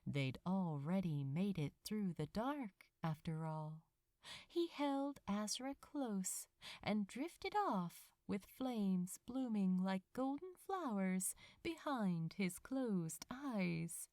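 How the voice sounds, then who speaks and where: Slow, expressive woman narrating fiction. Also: mid-range voice, no room sound, clean studio sound. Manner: unhurried, expressive storytelling. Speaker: audiobook narrator.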